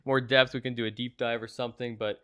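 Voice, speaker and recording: Clear-voiced young man hosting a casual review. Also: medium pitch, flat delivery, quick pace, sharp tone. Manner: enthusiastic, chatty, agreeable. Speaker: host of a movie review show. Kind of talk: casual conversational movie review.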